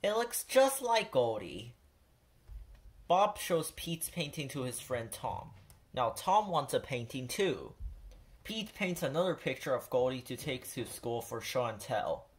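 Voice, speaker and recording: A woman reading a children's picture book aloud with expressive, deliberate delivery. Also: extremely low pitch, breathy edge. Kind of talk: storybook read-aloud.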